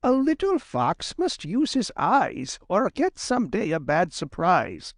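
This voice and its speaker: Storybook granny fox, male performer: old, shrewd, scolding, high-pitched, measured lecturing. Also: huge pitch swings, no room sound, studio quality, full character voice. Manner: sharp-tongued, lecturing, sing-song when reciting.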